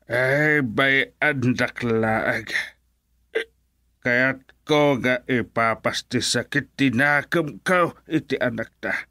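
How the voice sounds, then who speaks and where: Slow, grumbling old village father. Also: mid-range voice, pushed and bright, dry recording, studio quality. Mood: aggrieved, bitter. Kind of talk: Ilocano radio drama acting.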